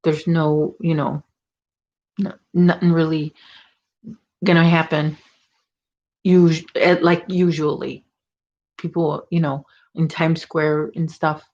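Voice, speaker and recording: A woman's voice: low voice, level tone, slow, pauses often, darkish tone, lo-fi sound. A woman with a Chicago accent hosting a casual crochet livestream from home, chatting and teasing while she works. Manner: chatty, reads viewer comments, teasing.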